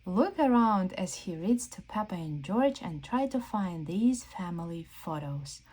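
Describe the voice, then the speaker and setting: Children's storyteller: woman, mellow voice, very animated pitch, guiding small listeners through a picture book. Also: rough recording. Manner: exaggerated wonder, slow questions to young listeners. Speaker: children's read-aloud storyteller. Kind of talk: interactive read-aloud for young children.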